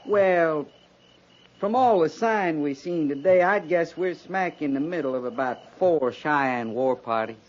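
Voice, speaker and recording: A man's voice: fairly high pitch, unhurried, close to an everyday voice. A man playing a wry, grumbling but loyal frontier sergeant with a rustic American drawl in a 1950s radio western. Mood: sardonic. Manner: drawling, sardonic understatement. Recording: dull, noisy 1950s radio recording.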